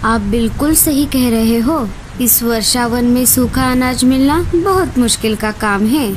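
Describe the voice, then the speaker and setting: A woman voicing a bossy, practical female cartoon bird mother with deliberate, dramatized delivery. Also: fairly high pitch, natural voice. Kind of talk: Hindi cartoon story dialogue. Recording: clearly noisy ambient background.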